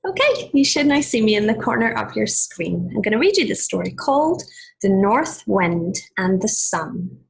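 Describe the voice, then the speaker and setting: A woman teacher reading a story to children in an expressive, deliberate way, pausing to explain and ask questions. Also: mid-range voice, creaky, breathy edge, poor audio.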